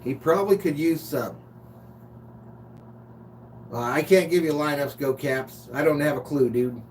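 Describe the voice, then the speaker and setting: An American man hosts a casual sports stream in a slightly high voice. He chats chummily with viewers and calls bits of the game. He sounds a little away from the mic, with a faint hum behind him. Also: a little slow. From Los Angeles, United States. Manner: informal, chummy, reads out chat comments. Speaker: live stream sports host. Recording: distant mic, noisy hum.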